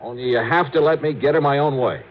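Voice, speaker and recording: An actor playing a shrewd, self-assured insurance investigator with a wry, hard-boiled manner, in 1950s radio detective style. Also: male voice, mid-range voice, quick pace, natural voice. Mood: confident, arguing. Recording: muffled, hissy old radio recording.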